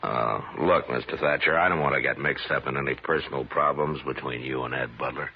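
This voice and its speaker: An American man acting a steady, laconic Old West marshal in an old-time radio western, speaking in a measured, understated way. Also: very deep voice, creaky. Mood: reluctant, cautious. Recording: dull, noisy old radio recording.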